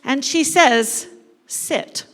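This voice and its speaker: A woman giving a playful, dramatic live narration of a story, pausing deliberately as she leads an interactive reading. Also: high voice, fairly bright tone. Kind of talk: theatrical live scripted narration of a parable. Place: large indoor church space before an audience. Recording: echoey hall with long reverb.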